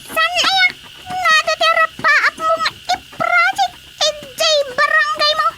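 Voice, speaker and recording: An actor voicing a tiny, bossy creature of an underground kingdom in radio comedy, with a squeaky, childlike voice and a commanding manner. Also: level tone, measured pace. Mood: instructing. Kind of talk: radio comedy drama acting. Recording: loud noise behind the voice.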